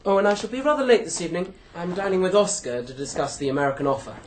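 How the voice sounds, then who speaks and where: An actor playing a brusque, suspicious husband with a British accent in a radio mystery drama. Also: male voice, sits high, big pitch swings, racing, a little hiss or hum. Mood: offhand.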